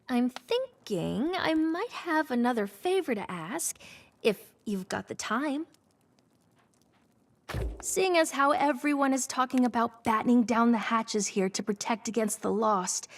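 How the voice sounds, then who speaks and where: A cheerful, easily flustered village woman in a fantasy game. Her voice sits high and swoops around playfully, with a chatty, folksy manner. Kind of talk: video game character acting.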